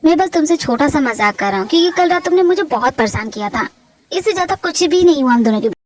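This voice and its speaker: A young male doctor, defensive and soothing, begging to be believed in a storybook reading put on by a woman. The voice sits lower than the narrator's own, with a mid-high pitch for a man. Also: expressive, quick pace, no room sound. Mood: placating.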